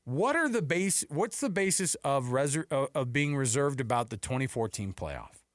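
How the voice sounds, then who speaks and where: A man co-hosting a sports radio show, chatting casually and informally in an animated way. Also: mid-range voice, normal speed, dry recording, clean studio sound. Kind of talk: casual sports talk banter.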